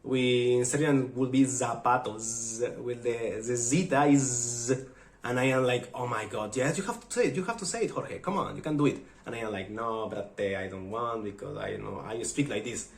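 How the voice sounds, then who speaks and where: A man from Mexico speaks English with a Mexican Spanish accent, eager and chatty, with halting non-native phrasing. His voice sits in a middle pitch. The room around him is echoey. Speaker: vlogger. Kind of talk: casual talk to camera.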